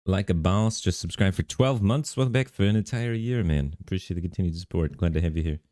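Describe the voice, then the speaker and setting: Man, very deep bassy voice, laid-back rambling stream commentary, dry close mic. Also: fairly quick, dry recording. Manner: relaxed, rambling, filler-heavy talk. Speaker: game streamer. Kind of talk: casual live-stream commentary. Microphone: studio microphone through an audio interface.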